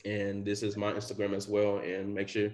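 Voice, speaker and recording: A man's voice: on the low side, monotone, moderate pace, a little mellow. A young man hosting a podcast in casual chat, rambling with lots of fillers. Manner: rambling with many likes and you knows. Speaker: podcast host.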